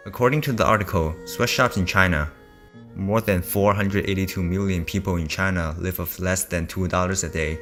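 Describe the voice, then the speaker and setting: A student man with a very deep voice reads a scripted narration steadily. Faint music plays underneath. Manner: steady, reading statistics.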